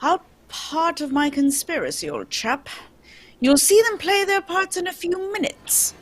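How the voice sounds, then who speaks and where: A woman playing a confident, scheming gentleman detective in a radio drama, brisk and commanding. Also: extremely high pitch, very animated, exaggerated voice. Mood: confident, conspiratorial. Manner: brisk, commanding, self-assured. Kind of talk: old-time radio drama script read live.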